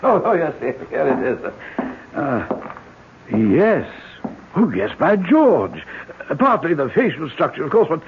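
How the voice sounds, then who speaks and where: Male, flustered old-fashioned doctor, stammering, husky and highish, swooping intonation, tinny vintage radio. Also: sing-song, measured pace, long pauses, slight background noise, exaggerated voice. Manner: dithering, stammering. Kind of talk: old radio drama acting.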